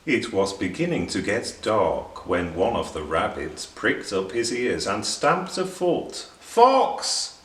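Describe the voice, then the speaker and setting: A man reading a children's story aloud in an expressive, deliberate narrating style. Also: lowish voice, a little hiss or hum. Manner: deliberate, clearly phrased for children. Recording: roomy echo, distant microphone.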